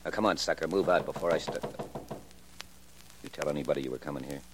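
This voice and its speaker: A man's voice: very deep voice, quick pace. A man acting a cocky, surly small-time hustler in a radio crime drama, slangy, brusque and mocking. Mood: irritated, surly. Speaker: actor. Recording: noisy old radio recording.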